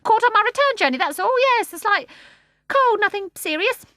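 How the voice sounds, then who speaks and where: A woman voicing a cheeky, boisterous male starling with a shrill, jeering voice and a loud, perky manner. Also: expressive, normal speed, no echo, clean studio sound, heavily acted. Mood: blustering.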